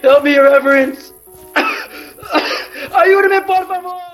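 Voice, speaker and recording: An actor playing an anxious, decent Spanish gentleman in a radio drama, pleading and stammering. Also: very high-pitched, expressive, takes it slowly, faint music behind, heavily acted. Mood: panicked pleading.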